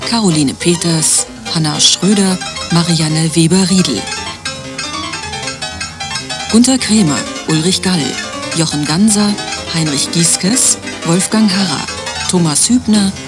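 Credits announcer: woman, slightly low and level, scripted radio read over music.

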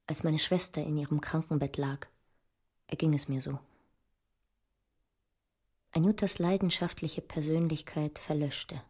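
An actress playing a passionate, melancholy Russian woman scholar of the nineteenth century in a theatrical radio drama, speaking in a deliberate, poetic way. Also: deep voice, fairly even intonation, darkish tone, husky. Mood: mournful.

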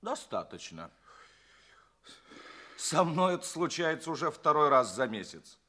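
Grumbling, animated alien townsman. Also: fairly high pitch, unhurried, heavily acted. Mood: exasperated. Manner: grumbling, exclamatory, irritable. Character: grumbling, jaded male alien townsman, fed up. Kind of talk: theatrical audio play dialogue.

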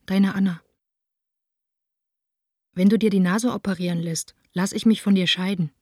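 An actress plays a young female theatre director in a radio play, frustrated yet defiant. Her voice is mellow and very evenly pitched, in a dry, close recording. She confides her letters in a subdued, literate way. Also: steady tone, normal speed, husky, no echo, lightly acted. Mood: weary, yearning. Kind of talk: radio play, letters read as intimate monologue.

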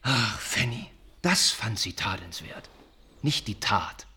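An actor playing an earnest, wounded young gentleman who confides haltingly and reflectively in a radio drama. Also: fairly low pitch, expressive, husky, heavily acted. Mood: indignant, dismayed.

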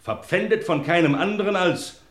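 Methodical inspector, roomy sound. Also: male voice, high voice, normal speed, a bit bright, slight background noise. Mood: stern, official. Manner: methodical, official. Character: matter-of-fact police inspector laying out evidence. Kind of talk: German radio crime play acting.

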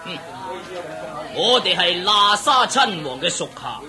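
A man's voice: high voice, very animated, a little slow. A man as a curt, stern princely retainer. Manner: curt, commanding. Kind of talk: radio play acting. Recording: market crowd sound effects behind. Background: crowd murmur.

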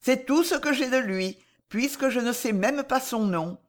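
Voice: sits high, a little slow, pitched above the performer's own voice. A woman playing a tender, grieving noble mother. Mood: wistful. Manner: solemn, tender, formal theatrical speech.

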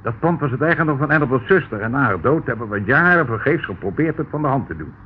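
An actor playing a meek, anxious, henpecked hotel keeper in a radio play, fussy and placating. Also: male voice, mid-range voice, animated, fast, natural voice. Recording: narrow, tinny old radio recording, faint noise.

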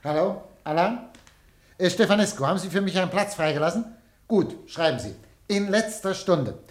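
An actor playing a businesslike, subservient male newspaper director in a radio drama, brisk and clipped. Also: high-pitched, animated. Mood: brisk, dictating. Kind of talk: theatrical German radio drama acting.

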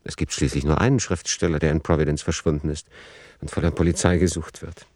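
A man reading scripted radio drama narration in a formal, matter-of-fact, measured manner. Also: very deep voice, flat delivery, a little mellow, dry recording, clean studio sound.

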